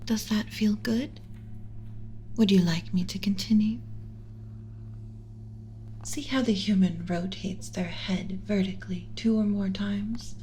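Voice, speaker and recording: A woman voicing a gentle, motherly alien scientist in an ASMR roleplay, speaking in a soothing, measured, instructional, often whispery way. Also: mid-range voice, fairly even intonation, slight background noise, close to an everyday voice. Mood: tender, reassuring. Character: gentle motherly alien scientist teaching a class about pet human.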